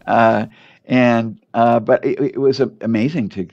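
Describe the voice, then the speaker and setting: An older man, a doctor, talking in a casual interview, halting with many fillers and restarts over muffled lo-fi audio. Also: medium pitch, steady tone, vocal fry. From United States.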